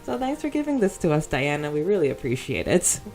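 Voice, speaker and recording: A woman's voice: low voice, very animated, fairly quick, darkish tone, soft background music. A woman co-hosting a casual fan podcast, chatting and reading out listener comments in a giggly, enthusiastic way. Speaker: podcast co-host.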